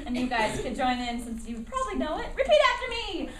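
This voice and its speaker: A woman's voice: high voice, very animated, quick pace. A woman narrating a live puppet show for children, animatedly coaching and prompting them as she tells the story. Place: echoey room at a school puppet show. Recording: echoey, distant mic, noisy room.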